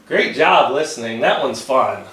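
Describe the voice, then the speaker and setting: A man's voice: mid-range voice, expressive, a little slow, background noise. A man leading a children's storytime, telling stories and encouraging young listeners as he goes. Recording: roomy, echoey sound.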